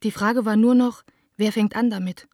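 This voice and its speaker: A woman's voice: fairly high pitch, steady tone, normal speed, darkish tone, dry recording, clean studio sound. An actress playing a young woman theatre director who reads her letters in a radio drama, reflective, plain-spoken and confiding. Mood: wistful, lost.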